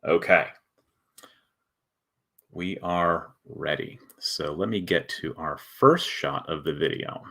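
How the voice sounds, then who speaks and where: A man hosting a casual livestream, explaining in a halting way and thinking aloud as he goes. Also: rumbling low, vocal fry, sharp tone. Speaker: host, board game video maker.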